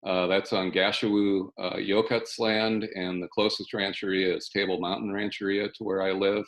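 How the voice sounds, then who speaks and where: A man in his sixties from South Dakota, giving a halting, restart-filled panel talk over a video call from his basement. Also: low voice, level tone, fairly bright tone. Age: 60s. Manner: measured, halting, with many restarts. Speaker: panelist, fire scholar. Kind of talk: online panel presentation. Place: home basement.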